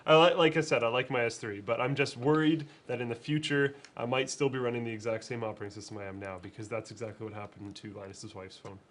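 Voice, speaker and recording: A man with a cold co-hosting a casual tech show, relaxed and quick to laugh. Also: mid-range voice, a little hiss or hum. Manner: laid-back, laughs often. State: sick with a cold. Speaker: co-host. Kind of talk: casual chat.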